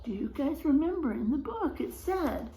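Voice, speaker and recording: A woman reading a children's story aloud expressively, pausing for asides to her young listeners. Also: sits high, big pitch swings, mellow, echoey room.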